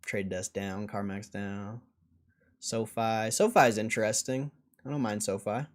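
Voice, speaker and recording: A man's voice: fairly low pitch, fairly even intonation, a little slow. A man hosting a livestream in a casual, blunt and dismissive way, swearing now and then as he answers chat. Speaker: livestream host.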